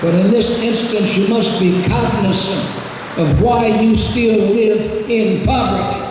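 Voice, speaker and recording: A man giving an earnest, emphatic goodwill message from the audience at an event, speaking into an awkward handheld microphone. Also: high voice. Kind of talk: impassioned public address. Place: large event hall. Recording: echoey hall from far off, noisy.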